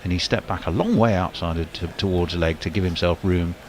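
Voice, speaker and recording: A man's voice: sits low, slight background noise. A man calling a cricket match ball by ball, lifting his voice when a big shot comes. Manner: brisk play-by-play with sudden lifts on big shots. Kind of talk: live sports commentary.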